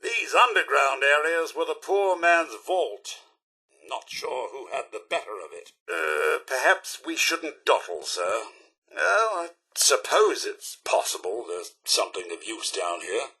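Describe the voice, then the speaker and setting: Posh-mannered british robot butler game companion, bright voice w a raspy edge, genteel n theatrical, fussy. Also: male voice, medium pitch, very animated, a little slow, full character voice. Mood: nervous, fussy. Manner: genteel, theatrical, courteous, wry. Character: polite, fussy male robot butler, chipper yet wistful. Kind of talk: video game companion remarks.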